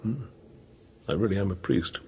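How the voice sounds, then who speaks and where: Low-voiced, brooding priest. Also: male voice, animated, warm tone, slight background noise. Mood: gentle, musing. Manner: brooding, halting, intense, repeating phrases. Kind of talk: radio drama acting, interior monologue and dialogue.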